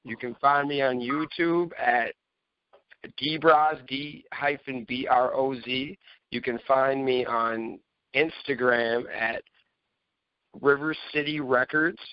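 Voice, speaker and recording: A man's voice: medium pitch, fairly even intonation, takes it slowly, clear, resonant tone, no echo, dull audio. A man co-hosting a podcast, giving casual opinions in a rambling, repetitive way. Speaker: podcast co-host.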